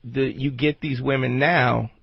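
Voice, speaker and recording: A man's voice: medium pitch, fairly even intonation, unhurried, vocal fry, slightly rough, no room sound. A man co-hosting a radio show, chipping in with casual short remarks and questions. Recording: dull dry lo-fi radio recording.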